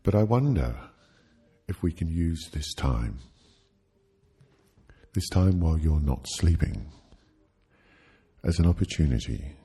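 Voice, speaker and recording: A man leading a guided sleep hypnosis, speaking soothingly and gently in short, halting phrases with long pauses. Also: rumbling low, big pitch swings, breathy edge.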